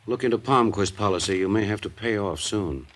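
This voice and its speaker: A man playing a smooth, playful insurance broker in a 1950s radio drama, talking in an offhand, urbane way. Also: lowish voice, a little fast, a bit bright. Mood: businesslike. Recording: old radio recording, faint noise.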